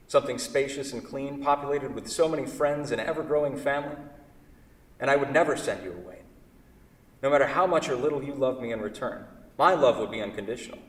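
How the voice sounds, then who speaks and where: A man's voice: mid-range voice, natural voice. Man acting an anguished craftsman in an echoey hall. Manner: wordy, self-correcting, fretful. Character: anguished furniture craftsman in love with his own creation. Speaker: actor in a staged play reading. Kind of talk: theatrical staged reading. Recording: echoey hall, far from the mic.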